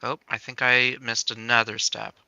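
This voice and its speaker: A man hosts a casual software tutorial stream with a very bright, clean voice. He thinks aloud as he goes from step to step in a playful way. The sound is dry, with hardly any room in it. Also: medium pitch, flat delivery, moderate pace, vocal fry, smooth, dry recording. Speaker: livestream host demonstrating game-making software.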